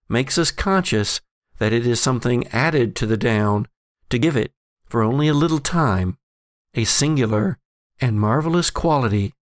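A man with a mid-pitched voice full of gravel and fry hosts a storytelling podcast. He speaks as the show's presenter, in a dry, close recording. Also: no echo. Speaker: podcast host. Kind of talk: scripted reading and casual host chat.

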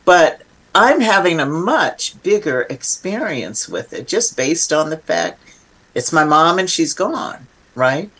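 An older woman speaking as an interview guest, reflective and conversational, thoughtful and emphatic with halting restarts. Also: low voice, very animated, a bit bright, breathy edge.

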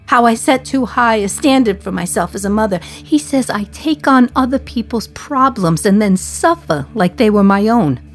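A woman's voice: mid-range voice, close to an everyday voice. An actress in her thirties playing a condemned woman in prison, reflecting in a wry, sardonic radio-play monologue.

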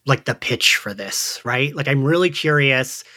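A man's voice: medium pitch, animated, unhurried, pushed and bright. A man hosting a podcast in a casual, chatty style. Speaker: podcast host.

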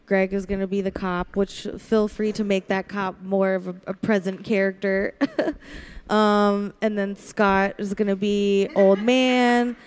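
A woman's voice: medium pitch, level tone, a little slow, darkish tone, clear, resonant tone. A woman hosting a podcast, talking casually with the cast of a radio play. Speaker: podcast host. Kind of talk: casual group chat and casting announcements.

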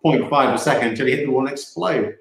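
A man's voice: mid-range voice, quick pace, gravelly. A man trading dry jokes in casual podcast banter, in an echoey room. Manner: dry, joking.

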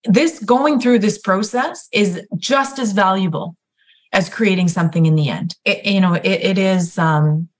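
A woman's voice: medium pitch, measured pace. A woman who is a nurse practitioner and healthcare ethicist, explaining things as a guest in a chatty way, with frequent fillers and restarts. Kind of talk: explanatory conversational guest talk.

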